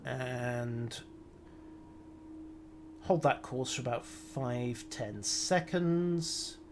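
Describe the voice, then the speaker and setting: A man's voice: medium pitch, drawn-out words, noisy background. Dry-witted man narrating gameplay. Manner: dry, wry, muttering self-commentary. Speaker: game streamer. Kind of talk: live gameplay commentary.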